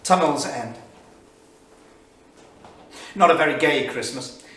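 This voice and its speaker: A man's voice: a little high, slow pace, a bit bright. A man playing a bitter, grieving 1938 academic, reading his journal aloud in a solemn, literary, emphatic way on stage. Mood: bleak. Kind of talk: staged dramatic reading of diary entries. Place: small studio theatre, staged reading. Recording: echoey theatre, distant mic, faint noise.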